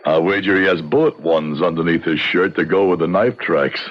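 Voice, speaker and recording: Deep, grave frontier judge. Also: male voice. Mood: grave, formal. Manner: formal, measured, literary phrasing. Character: grave, courtly frontier judge recounting dark events. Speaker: radio drama actor and narrator. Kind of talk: old-time radio drama narration. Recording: dull lo-fi radio, music behind.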